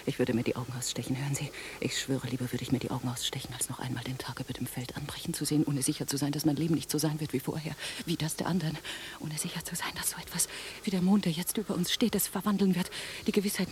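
An actress playing an intense, restless woman in a radio drama, speaking hushed and breathily. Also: sits low, flat delivery, quick pace, few pauses, background noise, natural voice. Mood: fervent, anguished. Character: intense, restless young woman scheming with lover. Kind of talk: German radio drama acting.

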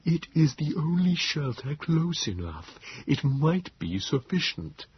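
A man voicing a small, frail Morlock creature in an audiobook, halting and pleading in a thin, wheezing, breathless voice. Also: a little high, very animated, dull audio, slight background noise, pitched above the performer's own voice, exaggerated voice. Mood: weary, urgent. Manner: halting, gasping, slow.

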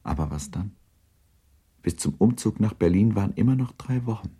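Very deep-voiced male literary narrator. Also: animated, moderate pace, mellow, breathy edge. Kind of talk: literary scripted narration for radio drama.

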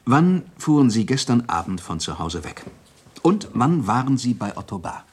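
A man playing a firm, courteous police inspector in a German crime radio drama, formal and insistent, with a husky voice. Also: mid-range voice, big pitch swings, normal speed. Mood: businesslike.

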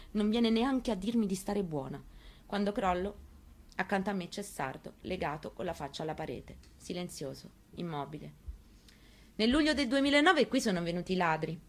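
An actress performing a first-person theatrical monologue reading, in a measured, intimate and quietly intense way. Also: female voice, medium pitch. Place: radio broadcast of a stage reading.

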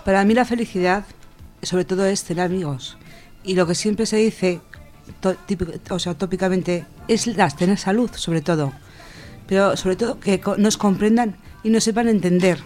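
A woman from reflecting informally, halting and rephrasing with emphasis as a discussion participant. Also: medium pitch, darkish tone. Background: faint music.

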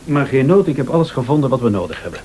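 An actor with a Flemish accent playing a scheming, prickly husband, a former bar owner, in a radio thriller, speaking with sardonic mock politeness. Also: male voice, medium pitch, sing-song, very fast, background noise, natural voice. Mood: sardonic, mock-polite.

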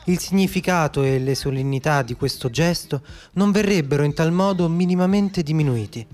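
Over music, a male actor plays a solemn wartime official with a fairly high male voice. He declaims austerity notices in measured, formal radio drama style. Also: darkish tone, natural voice.

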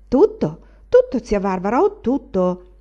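A woman voicing an eager, excitable young male student in an audiobook. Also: mid-range voice, a little slow. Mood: boastful. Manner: exuberant, boastful. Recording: dull lo-fi recording.

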